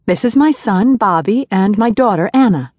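A man's voice: high voice, animated, moderate pace, no room sound, studio quality, pitched above the performer's own voice. A man voicing a friendly new neighbor, a polite and chatty mother of two.

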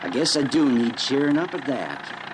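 Male actor as casual young American driver, swinging intonation, laconic, radio drama, clear car noise behind. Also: mid-range voice, sing-song, normal speed, lightly acted. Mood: easygoing, wry. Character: easygoing young family man driving an old car. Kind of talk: children's radio drama acting.

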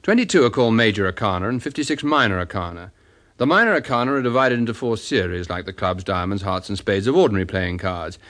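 A shrewd English private detective in a radio play. His voice sits low and he speaks with measured authority, laying out his reasoning with composure. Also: expressive, a bit bright. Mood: measured, probing. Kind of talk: British radio drama acting.

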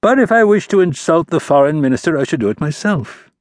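A scheming, mocking ambassador prince of about forty, as a male narrator puts him on. His voice sits high and husky, swinging with lively, lofty scorn. The delivery is dry and close. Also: normal speed, no room sound, natural voice.

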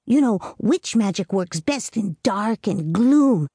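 A woman's voice: mid-range voice, expressive, slow, dark tone, breathy edge, dry recording, clean studio sound, voice pushed up, heavily acted. A woman reading a storybook, voicing an earnest, commanding little girl who lays down rules. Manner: earnest, commanding, a bit solemn. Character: bossy imaginative little girl Amy.

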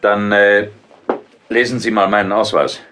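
Low-voiced, wry detective. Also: male voice, flat delivery, unhurried, sharp tone. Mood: cool, probing. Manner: dry, ironic, composed. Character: cool, wry male police detective, unflappable and probing. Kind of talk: German audio drama acting. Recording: music under the dialogue.

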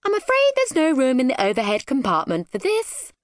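Lady putting on a snooty flight attendant, flustered, voice pitched up, kids storybook. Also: wildly swooping pitch, brisk, dry recording, studio quality. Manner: haughty, flustered.

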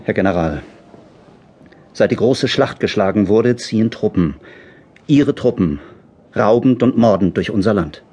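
An actor playing a principled, fearless civilian in a radio play, formal, firm and earnest. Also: male voice, fairly low pitch, lively intonation, a little slow, pauses often, warm tone, husky. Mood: earnest, accusing. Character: tall, fearless, principled civilian confronting officers.

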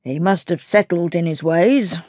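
A woman voicing a male butler in charge of the servants, crisp and authoritative, in a storybook reading. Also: lowish voice, unhurried, darkish tone, no room sound, studio quality. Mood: composed.

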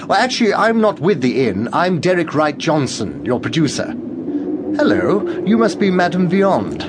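A man's voice: a little high, very animated, normal speed, heavy background noise. An actor playing a polite, eager and slightly anxious male TV producer in a radio comedy, hesitant and fawning. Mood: eager to please. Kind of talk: radio comedy drama acting.

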